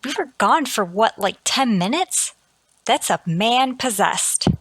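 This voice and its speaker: Woman playing a brash, bright, animated wisecracker. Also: a little high, slow pace, husky, full character voice. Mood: puzzled. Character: brash, wisecracking friend of a writer. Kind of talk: old-time radio horror acting.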